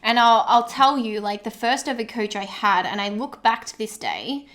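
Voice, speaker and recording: Chatty woman podcast co-host. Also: on the high side. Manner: animated, quick asides, quotes herself. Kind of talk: casual podcast chat.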